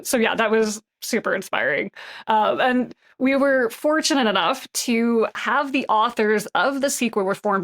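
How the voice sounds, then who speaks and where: A woman's voice: fairly high pitch, fairly bright tone. A woman co-hosting a podcast, speaking casually with frequent "um"s and leading into a segment. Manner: friendly, hesitant, frequent "um". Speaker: podcast co-host. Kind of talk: casual chat and segment intro.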